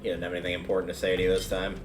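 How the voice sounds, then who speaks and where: Low-pitched man casually commentating a game. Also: completely flat delivery, very fast, loud music behind the voice. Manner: rambling, thinking aloud. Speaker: video game livestreamer.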